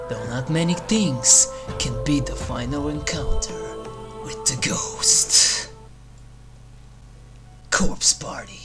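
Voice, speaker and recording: Review host, medium-pitched voice, scripted narration with theatrical, drawn-out delivery and dry wit, over prominent eerie music. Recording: music under the voice. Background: eerie game soundtrack music.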